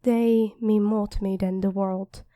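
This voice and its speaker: A woman's voice: mid-range voice, little pitch movement, measured pace, mellow, no room sound, studio quality, lightly acted. A woman playing a feisty, protective demon queen who is a devoted girlfriend, commanding yet affectionate, in an ASMR roleplay. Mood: tender, earnest.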